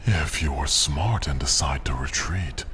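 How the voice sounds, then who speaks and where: An extremely deep, breathy dragon in a fantasy ASMR roleplay. He is haughty and menacing, rumbling out condescending taunts at a slow, deliberate pace. Faint noise sits behind the voice. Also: a bit bright. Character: menacing, haughty male dragon, mocking and possessive.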